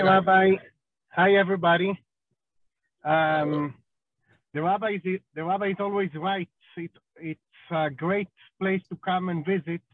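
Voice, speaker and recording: An Israeli man with a high voice talks at an unhurried pace, pausing often with ums and uhs. The sound is dry and tinny, like a phone line. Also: no room sound. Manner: slow and halting, many ums and uhs. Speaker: rabbi, interview guest. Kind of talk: podcast interview.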